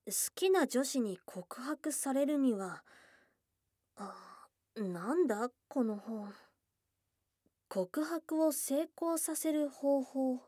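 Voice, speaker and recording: A woman voicing a shy, tomboyish young goblin girl in an intimate ASMR voice drama, soft and breathy, often dropping to a whisper, close to the microphone. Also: high-pitched, no room sound, heavily acted. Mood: curious, puzzled. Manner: blunt tomboyish speech, tender and hesitant. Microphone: close, dry studio microphone.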